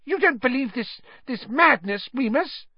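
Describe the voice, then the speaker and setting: A man reading an audiobook story, voicing a cowardly, cornered male traitor in a shrill, squealing, panicky, pleading voice. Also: lively intonation, unhurried, slightly rough, no room sound, muffled recording, exaggerated voice. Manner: panicky, pleading, stammering protests.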